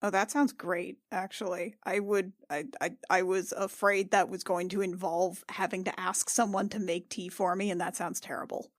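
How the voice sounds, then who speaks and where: A woman playing an awkward, guarded but protective warrior in a fantasy game, speaking in a halting, wry, casual way. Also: lowish voice, level tone, dry recording, clean studio sound, close to an everyday voice.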